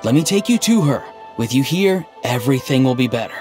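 An actor playing an earnest, odd, melancholy young man in a video game, speaking in a halting, stiffly sincere deadpan. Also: mid-range voice, lightly acted. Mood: reassuring. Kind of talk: video game dialogue acting. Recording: game music underneath. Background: background game music.

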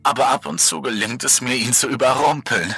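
A man's voice: medium pitch, fairly quick, vocal fry, sharp tone, husky, soft background music, pitched above the performer's own voice, full character voice. A man voicing a teasing cannibal killer woman. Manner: teasing, coolly condescending.

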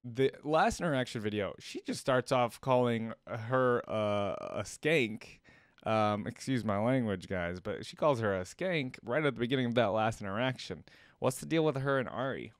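A man's voice: medium pitch, animated, normal speed, no echo, studio quality. A young man hosting a reaction video, giving casual commentary. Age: young adult. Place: indoors at a desk in a gaming chair.

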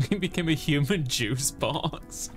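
A man's voice: on the high side, takes it slowly, a bit bright. Casual man streaming over game music. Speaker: let's play streamer. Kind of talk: casual gaming commentary. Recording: music behind the voice.

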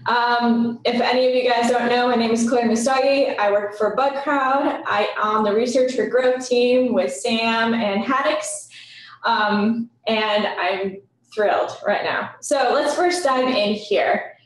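A woman's voice: on the high side, slow. A young woman moderating a panel, enthusiastic and welcoming, recorded by a webcam across a desk in a small office. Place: small office with bare walls. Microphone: far webcam across a desk. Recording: very echoey room from the mic's distance.

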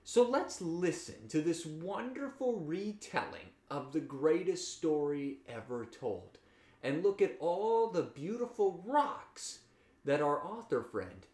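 A man's voice: a little high, big pitch swings, takes it slowly, darkish tone. A man in his thirties hosting a children's story time, speaking simply and emphatically as if to young listeners. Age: 30s. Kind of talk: animated children's programme introduction. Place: living room, seated on a couch. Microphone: camera a few feet away. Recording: roomy echo, guitar music.

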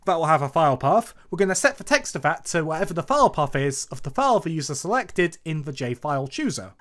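A tutorial presenter narrating a coding screencast in a casual, explanatory manner. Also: medium pitch. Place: voice-over for a screen recording.

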